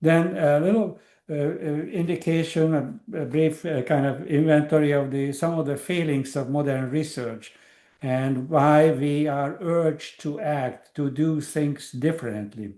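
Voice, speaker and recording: An older man from Hungary giving an academic lecture over a video call, pausing often with fillers and restarts. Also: on the high side, dark tone, roomy sound. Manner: hesitant, many uh fillers and restarts. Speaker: guest lecturer.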